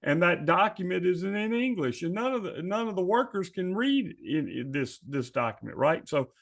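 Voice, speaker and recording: A man speaking as a podcast host in a conversational, deliberate way, repeating phrases for emphasis. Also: high-pitched, very animated, vocal fry.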